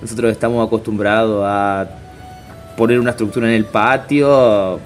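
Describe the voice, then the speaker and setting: A man in his thirties from Chile, a circus artist, answering interview questions in a casual, halting way with many fillers and restarts. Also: on the low side, steady tone, faint music behind. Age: 30s.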